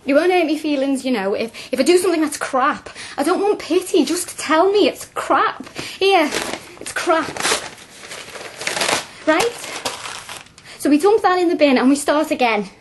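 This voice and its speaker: A woman's voice: high voice, lively intonation, a little slow, a little hiss or hum. An actress playing a spirited working-class woman of twenty-six in a radio drama, speaking colloquially and insistently. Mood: determined. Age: young adult.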